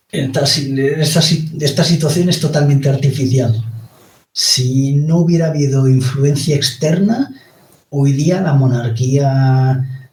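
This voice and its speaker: A man from Spain with a Spanish accent, a podcast guest explaining his views at a slow, deliberate and hesitant pace. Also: mid-range voice, darkish tone. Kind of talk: explanatory monologue. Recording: roomy, distant from the mic.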